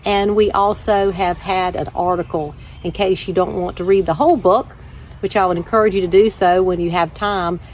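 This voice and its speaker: A woman hosting a children's story time, reading aloud with measured, deliberate phrasing. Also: fairly low pitch, steady tone, a little mellow, a little hiss or hum. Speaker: church children's ministry director, story time host.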